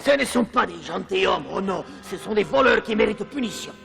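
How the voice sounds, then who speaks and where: A man's voice: high-pitched, expressive, a little fast, vocal fry, heavy background noise, full character voice. A man playing a poor, good-hearted, secretive water carrier in a French cartoon dub. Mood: righteous, tender. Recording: loud music behind the voice.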